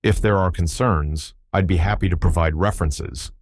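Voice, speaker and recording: A man narrating a storybook voices an adult woman interpreter who answers in an even, measured way. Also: deep voice, dry recording, clean studio sound. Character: composed female interpreter, adult.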